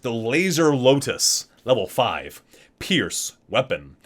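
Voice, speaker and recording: An ASMR host, a man with a medium-pitched voice and very lively intonation. He alternates between reading aloud and chatty, casual asides, sounding like an enthusiastic geek. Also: slow.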